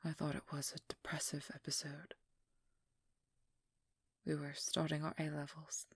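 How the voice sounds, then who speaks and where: A woman playing a guarded, protective young vampire hunter in a hushed, breathy ASMR roleplay, speaking slowly with halting pauses close to the mic. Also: deep voice, monotone, no echo, exaggerated voice. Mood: somber, confiding. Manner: slow, halting, intimate delivery with pauses. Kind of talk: whispered ASMR roleplay, dramatic monologue. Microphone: close microphone, dry.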